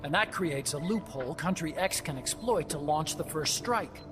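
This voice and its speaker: Casting: male actor as an anxious young scientist, slightly high voice, fast explanatory delivery, music under the scene. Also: expressive, close to an everyday voice. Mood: explaining. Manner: quick, explanatory, turns subdued and hesitant. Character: young male scientist, nervous, guilt-ridden. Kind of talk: video game cutscene acting.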